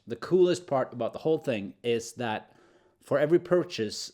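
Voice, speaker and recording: A man's voice: medium pitch, a little mellow. A man co-hosting a podcast in casual chat, joking and playful, with frequent fillers. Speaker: podcast co-host.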